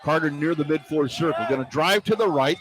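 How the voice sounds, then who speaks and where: An American man doing excitable, partisan live radio play-by-play of a basketball game as the announcer. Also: a little high, fairly quick. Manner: excitable, partisan toward the home team. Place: courtside in a basketball arena. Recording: noisy live arena radio broadcast. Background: crowd and arena noise.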